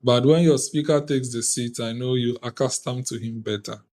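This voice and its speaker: A man from Ghana with a Ghanaian accent, convening an online youth parliament on a video call in a deliberate, formal way. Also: medium pitch, steady tone, a little mellow. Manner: deliberate, measured, reads out lists of names. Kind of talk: formal ceremonial address.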